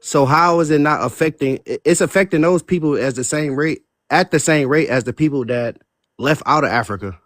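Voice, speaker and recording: A man's voice: on the high side, fairly quick, a bit bright, dry recording, clean studio sound. Insistent man in heated debate. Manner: insistent, repeats himself, stammering restarts.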